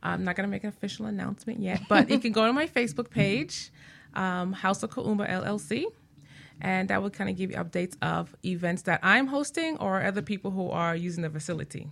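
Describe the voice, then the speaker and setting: A woman's voice: mid-range voice, a little fast, creaky, fairly bright tone. A woman talking casually as a radio guest, heartfelt and a little hesitant. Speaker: radio show guest, workshop host. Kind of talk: casual conversational interview talk.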